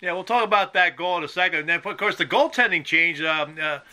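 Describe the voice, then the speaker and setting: Male sports podcast host, higher-pitched, very bright voice, halting and rambling as he asks questions. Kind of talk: conversational sports interview.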